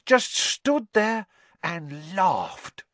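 An excitable young bus conductor, pitched well up and swooping about. He gossips in slangy bursts, dramatic and easily spooked, always fishing for agreement. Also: drawn-out words, no room sound, full character voice. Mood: excited, dramatic.

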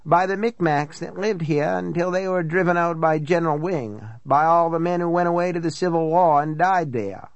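A man's voice: on the high side, normal speed, hardly any pauses, dry recording, lo-fi sound, voice pushed up, close to an everyday voice. A man voicing an older small-town housekeeper woman from an audiobook, blunt, gossipy and matter-of-fact. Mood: matter-of-fact, grim relish. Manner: folksy, matter-of-fact storytelling. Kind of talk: audiobook character dialogue.

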